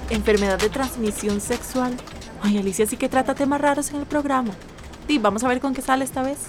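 A woman's voice: sits high, steady tone, a little fast, faint music behind, lightly acted. An actress playing a sceptical, mildly exasperated young woman in a radio drama. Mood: wry, exasperated.

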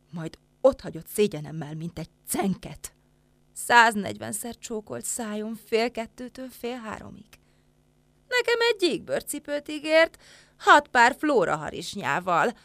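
A woman's voice: mid-range voice, expressive, no room sound. An actress playing a jilted, jealous, lovesick woman in a declamatory theatrical drama. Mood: wounded, wistful. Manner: declamatory, swooning, swinging from hush to outburst. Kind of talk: theatrical literary radio drama.

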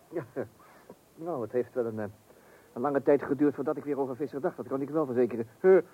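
An actor playing a bumbling, chatty amateur angler in a radio play, rambling, hesitant and repeating himself. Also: male voice, mid-range voice, lively intonation, moderate pace, breathy edge. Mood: sheepish. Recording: dull, noisy old radio play recording.

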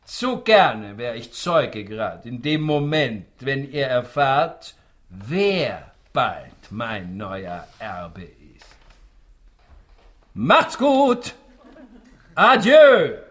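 A man's voice: medium pitch, sing-song, very slow, fairly bright tone, full character voice. A man acting a spiteful, gloating rich old man in a radio play, sneering theatrically in rhymed verse.

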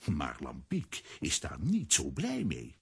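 A man narrating a children's radio play in a scripted storytelling style, with a husky, raspy voice. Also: extremely low pitch, huge pitch swings, slow, a bit bright, exaggerated voice.

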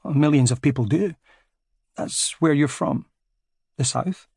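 A man's voice: on the high side, slow pace, gravelly, darkish tone, breathy edge, dry recording, studio quality, full character voice. A man voicing a mild, dryly ironic Scottish man in his thirties, with a Scottish accent. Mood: polite. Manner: polite, understated, dryly ironic.

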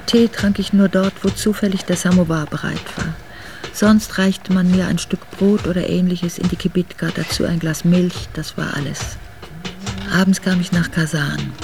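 A woman's voice: on the low side, fairly even intonation, moderate pace, creaky. An actress playing a noblewoman who soberly recalls a hard winter journey in a radio drama. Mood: sober, reflective. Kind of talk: radio drama memoir narration.

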